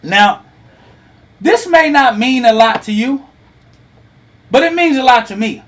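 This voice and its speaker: High-voiced, slow-talking man in his thirties. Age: 30s. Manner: animated, teasing, asks the audience questions. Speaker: host. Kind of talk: lively talk to a live online audience.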